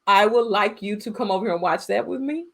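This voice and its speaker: An American woman with a middle-pitched voice talks casually as a podcast co-host. She tells stories in an animated, unfiltered manner and swears freely. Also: fast talker. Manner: animated storytelling with frequent profanity. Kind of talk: casual movie-podcast chat.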